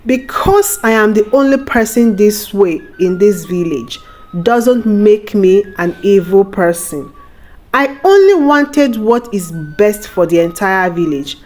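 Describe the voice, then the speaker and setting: A woman's voice: medium pitch, a little mellow, lightly acted. A woman voicing a wronged young village outcast, anguished yet dignified, in a plaintive, solemn folktale delivery.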